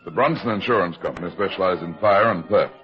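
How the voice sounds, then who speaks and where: An actor playing a heavyset private detective in a 1950s radio drama, dry, clipped and matter-of-fact. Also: male voice, low-pitched, fast, over music, lightly acted. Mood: measured narration. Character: heavyset private detective narrating his own cases, dry and unflappable. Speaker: detective lead and first-person narrator. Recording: dull vintage 1950s radio recording.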